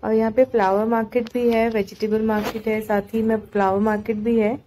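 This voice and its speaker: A woman's voice: on the high side, level tone, fairly quick, dark tone, a little hiss or hum, rough recording. A woman narrating a vlog in a casual, chatty, conversational way. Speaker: market vlogger.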